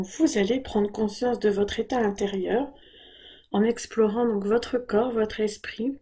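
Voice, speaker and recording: A woman leading a guided body scan meditation in a soft, breathy voice, giving calm, gentle, unhurried instructions. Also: fairly high pitch.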